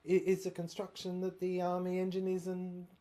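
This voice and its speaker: A woman playing an obsessive, haunted inventor shut away by soldiers in an audio drama monologue, speaking in a halting, rambling, theatrical way with repeated words. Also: fairly low pitch, monotone, mellow, close to an everyday voice. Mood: haunted, subdued.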